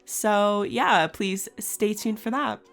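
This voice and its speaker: A woman in her twenties with a raspy, scratchy just-woke-up voice, chatting casually in a voice-over for her art channel and laughing often. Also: medium pitch, slow, fairly bright tone, soft background music.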